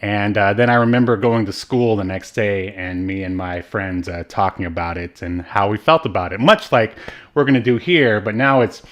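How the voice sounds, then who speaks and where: A man's voice: lowish voice, fairly even intonation, normal speed. Jokey male podcast co-host. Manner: jokey, chuckles often. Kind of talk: casual banter with a co-host.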